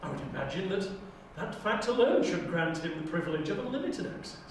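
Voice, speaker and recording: A man's voice: sits high, very animated, fast talker, voice pushed up. A man playing a grandiose, scornful Time Lord councillor with pompous, declamatory delivery for an audio drama audition. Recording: very echoey room, distant mic, faint noise.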